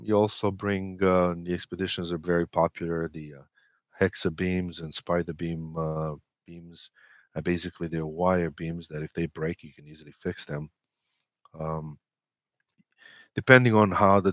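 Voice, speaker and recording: A man from Romania with a deep, low voice, recorded close and dry. He speaks as an interview guest in casual technical talk, keen on his subject, often halting as he finds his words. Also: no room sound. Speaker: interview guest, amateur radio expeditioner.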